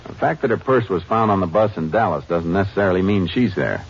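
An actor playing a calm, steady Texas Ranger in an old radio drama, speaking in a level, firm and probing way. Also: male voice, sits low, fairly quick. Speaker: lead and narrator of the drama. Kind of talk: 1950s radio drama acting. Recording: muffled old radio broadcast with hiss.

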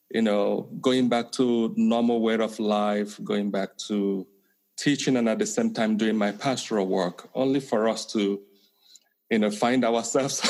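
A man from Nigeria with a Nigerian accent, a priest and counseling professor answering interview questions in a measured way with many pauses. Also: lowish voice, darkish tone. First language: Igbo. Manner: measured, many pauses and fillers, light laughs.

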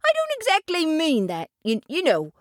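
Jovial restless otter, male, high bouncy voice, as a woman reads him, dry close sound. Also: wildly swooping pitch, dry recording. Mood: breezy. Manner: quick, breezy, exclaiming. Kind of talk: storybook voice acting.

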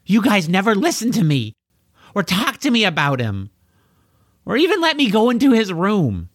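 A man's voice: high voice, wildly swooping pitch, moderate pace, no room sound, clean studio sound, pitched above the performer's own voice, exaggerated voice. A man voicing an earnest, grieving boy in an emotional, pleading way for a children's audiobook. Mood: hurt, angry. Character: earnest, grieving boy who talks like a young knight.

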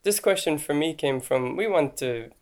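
A man's voice: a little high, animated, normal speed. Chatty Irish man hosting a podcast. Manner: conversational, chatty asides with "like" and "right?". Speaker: podcast host. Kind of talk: informal explainer podcast.

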